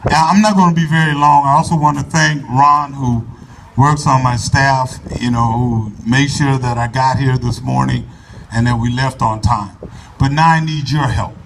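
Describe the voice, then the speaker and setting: A man giving an earnest, folksy address to a crowd at an outdoor rally in a park, speaking loudly into a microphone and urging people to come closer. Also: mid-range voice. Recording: voice from far off the mic, faint background noise.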